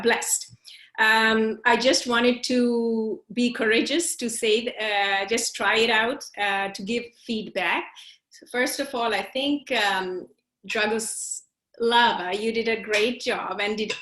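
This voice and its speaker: A woman's voice: medium pitch, fairly bright tone, roomy sound, rough recording. A woman from Bangladesh, a trainee interpreter giving polite, hesitant feedback to a classmate on a video call.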